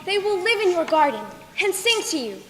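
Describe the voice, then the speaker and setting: Young girl playing a wise talking bird, very high voice. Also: very animated, fairly quick. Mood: commanding. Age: young teen. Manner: declamatory stage delivery. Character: wise talking bird, proud sultan of the birds. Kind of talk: school stage play storytelling. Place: school play stage in a large hall. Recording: echoey hall from far off, loud noise.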